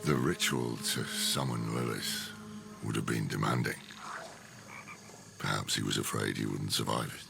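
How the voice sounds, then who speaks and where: An actor voicing a grave man with a croaking, gravelly voice in dark fantasy game dialogue. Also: extremely low pitch, fairly even intonation, a little slow, pauses often, background noise, heavily acted. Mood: grave, speculative.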